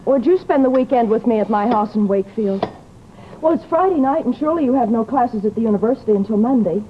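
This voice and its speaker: A woman's voice: fairly high pitch, expressive, quick pace, natural voice. An actress playing a troubled, genteel young lady in old-time radio drama, speaking in a polite, earnest, imploring way. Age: young adult. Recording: muffled, noisy old radio recording.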